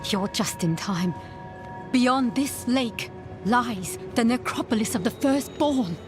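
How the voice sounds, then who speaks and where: High-voiced, slow, obsessed scholar mother. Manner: hesitant, halting, fervent. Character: devout, obsessed scholar mother, earnest and increasingly unhinged. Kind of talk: dramatic fantasy game cutscene acting. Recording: cinematic music behind.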